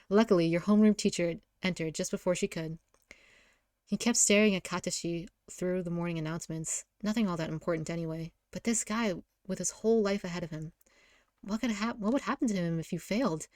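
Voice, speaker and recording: A woman with a slightly low voice narrates a visual novel in a dry, close recording. She reads informally, prone to giggles, and often trips on a phrase and repeats it. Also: darkish tone, dry recording. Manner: giggly, often stumbles and rereads lines.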